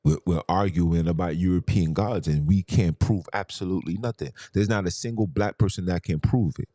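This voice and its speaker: A man's voice: deep voice, dark tone, no echo, clean studio sound. A man hosting a podcast, arguing in a heated monologue full of rhetorical questions and emphatic repetition. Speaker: podcast host.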